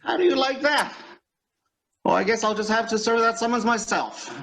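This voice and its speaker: A man acts out an impatient office boss in a radio comedy, blustering and stammering his orders. His voice sits high and swoops up and down with exasperation. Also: animated, moderate pace, fairly bright tone, full character voice. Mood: exasperated, blustery. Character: flustered, impatient boss with a dictation-taking secretary. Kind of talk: old-time radio comedy acting.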